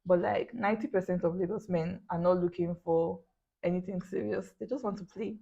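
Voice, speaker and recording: A woman's voice: lowish voice, fairly even intonation, fairly quick, creaky, mellow, slightly rough, lo-fi sound. A young woman with a Nigerian accent in a casual chat, blunt and matter-of-fact, slipping into pidgin. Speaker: guest.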